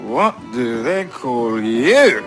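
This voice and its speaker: An actor playing a rambling, mock-courtly drunk in a tavern scene from a video game, slurred and halting. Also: male voice, mid-range voice, big pitch swings, soft background music. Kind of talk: dramatic video game acting.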